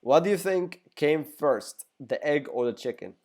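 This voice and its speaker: A man's voice: a little high, animated, measured pace, darkish tone. A young man whose first language is Arabic, answering viewer questions in a casual, rambling way with joking asides. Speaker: gaming YouTuber. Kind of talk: casual Q&A video.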